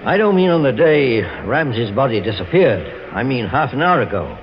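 An actor playing a shrewd, formal American investigator, probing and commanding, in an old-time radio drama. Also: male voice, medium pitch, very animated, normal speed, a little hiss or hum. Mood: shrewd, pressing. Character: shrewd, authoritative male private investigator, calmly probing. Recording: dull 1940s-50s radio recording.

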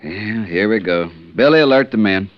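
An actor playing a plain-spoken, harried middle-aged Texas Ranger captain in a 1950s radio western, talking in a folksy, drawn-out way. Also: male voice, on the low side, lively intonation, background noise, natural voice. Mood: brisk. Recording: dull vintage radio recording.

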